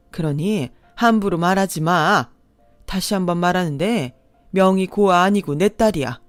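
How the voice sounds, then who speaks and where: Woman playing a fierce older mom, loud scolding, dry close voice, faint music behind. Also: mid-range voice, no echo, lightly acted. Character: fierce protective older mother, hot-tempered.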